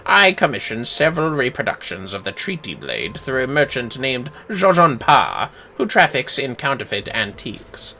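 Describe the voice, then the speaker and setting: A man plays a condescending police inspector from a video game, his voice dropped low. He speaks in a clipped, deliberate way, curt and full of disdain. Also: very animated, gravelly, sharp tone, slight background noise, full character voice. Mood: grudging. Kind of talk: video game dialogue read aloud.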